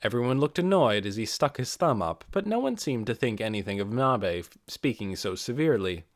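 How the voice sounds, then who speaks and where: A man narrates a fantasy audiobook from a script. His pitch is mid-range and moves in a very lively way, and the sound is dry. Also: brisk, no room sound.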